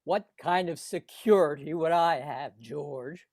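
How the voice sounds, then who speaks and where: A man playing a cruel, contemptuous old rich businessman in a radio play, speaking slowly and deliberately. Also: a little high, a little mellow, dry recording, clean studio sound. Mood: gloating, scornful. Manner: contemptuous, gloating, slow and deliberate. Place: home recording over video call.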